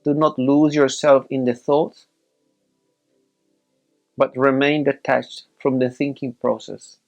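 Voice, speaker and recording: A man leading a guided meditation as a teacher, giving measured instructions in short, separated phrases. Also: medium pitch, flat delivery, a little mellow, highs cut off, faint music behind.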